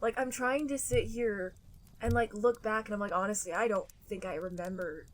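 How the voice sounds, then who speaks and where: A woman's voice: medium pitch, fairly even intonation, moderate pace. A young adult woman co-hosting a casual podcast, chatting loosely with lots of filler words and frequent laughter. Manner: laughs often, many "like" fillers. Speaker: podcast co-host. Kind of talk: casual chat.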